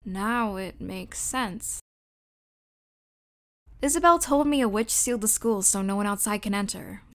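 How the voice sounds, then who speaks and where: A woman voicing a thoughtful young woman who speaks in a measured, gentle way. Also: medium pitch.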